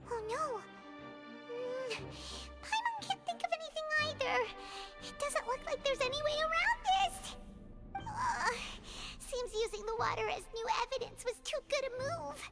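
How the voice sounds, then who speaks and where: A woman voicing a tiny, excitable, fretful fairy companion in a video game, with a squeaky childlike voice. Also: a little slow, over music, exaggerated voice. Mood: fretful, dismayed. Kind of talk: cartoonish video game voice acting.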